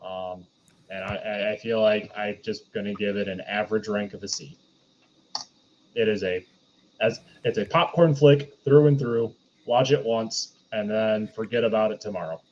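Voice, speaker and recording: A man's voice: fairly low pitch, fairly even intonation, normal speed, a little mellow, slight background noise. A man on a podcast panel giving casual movie opinions through a slightly dull, lo-fi microphone.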